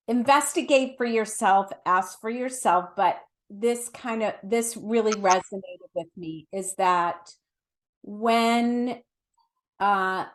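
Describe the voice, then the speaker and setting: A middle-aged woman giving conversational spiritual teaching as an interview guest, earnest and emphatic, explaining with everyday examples. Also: mid-range voice.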